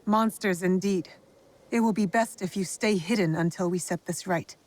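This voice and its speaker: A woman's voice: lowish voice, normal speed, natural voice. A woman playing a cool probing shinobi heroine. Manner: direct, probing and calm. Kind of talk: video game cutscene acting.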